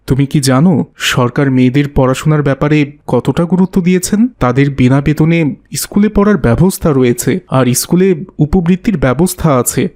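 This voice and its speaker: Man playing a mellow, fatherly schoolteacher. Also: fairly high pitch, lightly acted. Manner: reassuring, earnest. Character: kindly, encouraging male schoolteacher, fatherly and concerned. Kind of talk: cartoon voice acting.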